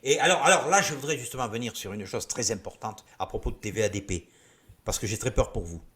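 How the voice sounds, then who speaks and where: A man's voice: mid-range voice, fast talker, a bit bright. A man from Gascony, a Gascon speaker, talking as a show guest in an earnest, insistent way. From Gascony, southwest France. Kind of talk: call-in show talk.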